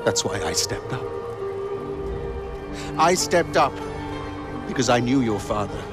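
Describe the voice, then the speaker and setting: A man's voice: medium pitch, expressive, unhurried, natural voice. An actor playing an angry male rebel leader in a video game cutscene, blunt and accusing. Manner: scornful, forceful. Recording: loud music underneath.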